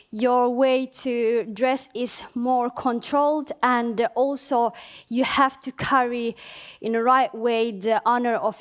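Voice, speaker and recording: A woman, born in Somalia and raised in Finland, gives a conference presentation in a hesitant way, with frequent filler sounds and restarts. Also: fairly high pitch. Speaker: conference speaker. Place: conference venue.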